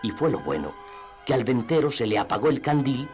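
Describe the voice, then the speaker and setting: A man with a husky voice narrating a classic tale for radio theatre in a measured, dramatic storytelling manner. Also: medium pitch, very animated, a little mellow, faint music behind.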